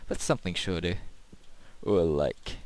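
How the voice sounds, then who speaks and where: A man's voice: sits low, expressive, measured pace, darkish tone, dry recording. A man giving casual gameplay commentary as a video host, rambling and thinking aloud.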